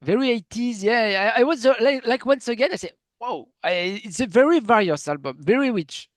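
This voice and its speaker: A man whose first language is French, interviewing in halting, enthusiastic English as he searches for words. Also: very high-pitched, expressive, dry recording, studio quality. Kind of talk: casual interview chat.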